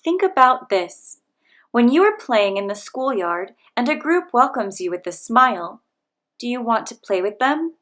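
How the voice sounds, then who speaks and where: A woman reading a picture book aloud to children, in a gentle, measured way. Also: fairly high pitch, sing-song.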